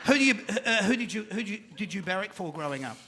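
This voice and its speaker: A man with an Australian accent hosting a live panel show, energetic and jokey, calling out to a crowd in the venue. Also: sits high, fast talker.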